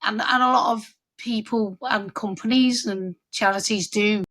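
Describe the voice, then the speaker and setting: A woman's voice: medium pitch, steady tone, a little slow, a bit bright. A woman with a Scouse accent hosting a podcast interview, chatty and encouraging, often restarting her sentences. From Liverpool area. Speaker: podcast host.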